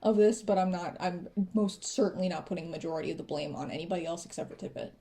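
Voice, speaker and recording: A woman's voice: lowish voice, quick pace, dark tone. A teenage girl hosting a casual school podcast chat with her father, informal and giggly. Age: teens. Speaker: student host.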